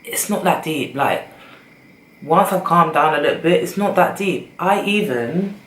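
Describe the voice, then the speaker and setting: A woman's voice: deep voice, expressive, a little slow, a bit bright. A young woman with a British accent, chatting casually and rambling to her camera. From Britain. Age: young adult. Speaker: vlogger. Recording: echoey room with faint noise.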